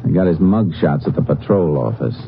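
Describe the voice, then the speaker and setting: An actor playing a calm, methodical Texas Ranger who investigates and narrates in a terse, probing manner in a 1950s radio drama. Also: male voice, very deep voice, brisk, creaky, over loud music, heavily acted. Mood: businesslike. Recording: muffled old radio recording.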